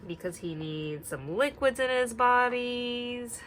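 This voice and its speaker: A young woman teacher reading a picture book aloud and asking the children gentle questions, sometimes dropping to a whisper. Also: fairly high pitch, lively intonation, takes it slowly, slight background noise. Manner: drops to a whisper at quiet parts.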